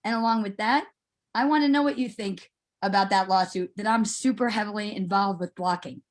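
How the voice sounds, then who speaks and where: A middle-aged woman puts a question at an online meeting. Her pitch is average for a woman. She talks informally and chattily, taking her time to circle toward what she wants to ask. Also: a little fast. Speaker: meeting attendee asking a question.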